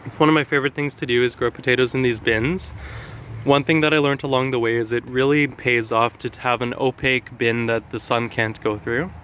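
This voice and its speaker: A man with a slightly raspy voice from allergies, talking casually and explaining things with lots of fillers as he walks through his garden. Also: mid-range voice, steady tone, a little fast, a bit bright, a little hiss or hum. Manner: chatty, explanatory, with frequent fillers. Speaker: gardening vlogger. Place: outdoor garden.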